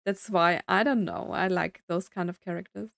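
A woman's voice: fairly low pitch, fairly even intonation, moderate pace, creaky, a bit bright. A young woman giving casual reaction commentary as a video host, thinking aloud in an informal, hesitant way. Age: young adult. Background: faint game music.

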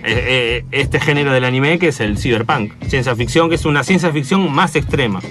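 A man's voice: mid-range voice, a little fast, over music. A man with an Argentine accent chatting casually as a radio columnist, hesitant and searching for words. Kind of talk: casual explanatory chat.

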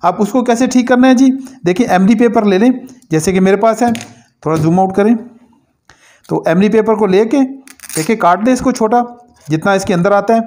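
A man with a fairly high voice talks through a hands-on electrical repair at a workbench. He explains each step in plain words and keeps telling the viewer to look. He stops now and then to correct himself. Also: moderate pace, darkish tone. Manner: explanatory, repeatedly saying "dekhen", with small slips and self-corrections. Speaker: repair tutorial host. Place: indoor workbench.

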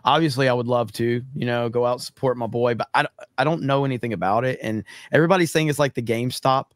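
A man in his thirties hosting a casual livestream, his voice worn hoarse from talking so much, telling stories with lots of laughs and restarts. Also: medium pitch, fast, dry recording, clean studio sound. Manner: animated storyteller, laughs often, rambling restarts. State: losing his voice. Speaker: show host. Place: home streaming room with purple lights. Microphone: studio mic on arm, webcam.

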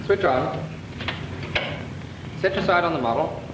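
A brisk naval flying instructor gives crisp, clipped orders in a slightly high voice. The old film soundtrack is echoey and full of hiss. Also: male voice, lively intonation. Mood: instructive. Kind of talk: 1940s training film acting.

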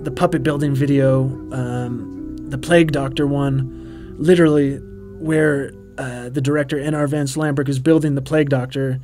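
A man in his thirties giving a rambling, relaxed behind-the-scenes commentary with quaintly formal turns of phrase, full of ums and uhs. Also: medium pitch, normal speed, hardly any pauses. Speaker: puppeteer and co-host of a commentary track. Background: faint background music.

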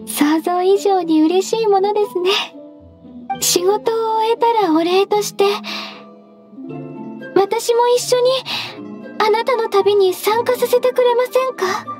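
An actress playing a gentle, diligent young secretary in an anime game, speaking politely and formally. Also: female voice, very high-pitched, slow, soft background music. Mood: grateful, warm. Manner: polite, formal, soft-spoken.

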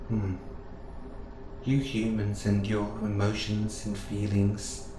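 A man playing a gentle, curious robot assistant who speaks in formal, precise phrases, close and intimate in an audio roleplay. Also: lowish voice, lightly acted. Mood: curious, reassuring. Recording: roomy sound with clear background noise.

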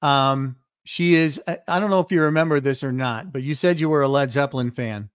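A middle-aged man hosting a podcast interview from home over a video call, relaxed and easygoing, speaking into a close studio mic. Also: medium pitch, brisk, clear, resonant tone, no echo. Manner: easygoing, frequent short backchannels. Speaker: podcast host. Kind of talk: casual interview chat. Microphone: studio mic on a boom, close.

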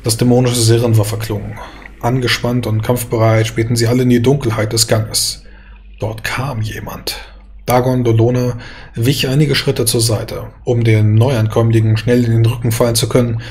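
A man's voice: medium pitch, fairly even intonation, normal speed, a little mellow. A man reading a scripted, dramatic fantasy story as its narrator.

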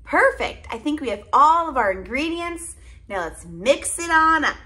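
A woman's voice: high-pitched, big pitch swings, normal speed, bright, forceful tone, slight background noise. A woman in her thirties presenting to toddlers and reading picture books aloud in simple, exaggerated child-directed speech. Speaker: children's educational presenter and storyteller. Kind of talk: toddler lesson and picture-book read-aloud.

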